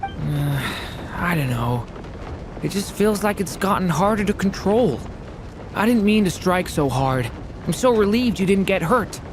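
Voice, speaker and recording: A woman voicing a gentle, earnest young boy in a video game, polite and hesitant in manner. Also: fairly low pitch, expressive, unhurried, noisy background. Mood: apologetic. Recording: game music behind voice. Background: game music.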